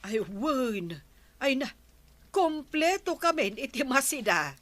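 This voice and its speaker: A woman's voice: high voice, very animated, slow pace, fairly bright tone, dry recording, exaggerated voice. A woman with an Ilocano Filipino accent playing a village neighbour in a radio comedy drama. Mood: chatty.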